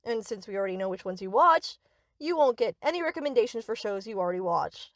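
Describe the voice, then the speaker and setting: A woman's voice: mid-range voice, expressive, quick pace, no room sound, clean studio sound, natural voice. A woman playing a sweet, reassuring but possessive young yandere best friend in an anime-style audio roleplay, coaxing and doting.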